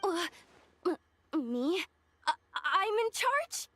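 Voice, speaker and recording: A super high bright girl sidekick, eager, flustered, stammery, swoops around, anime game style. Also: drawn-out words, exaggerated voice. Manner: stammering, excitable. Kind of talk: video game voice acting.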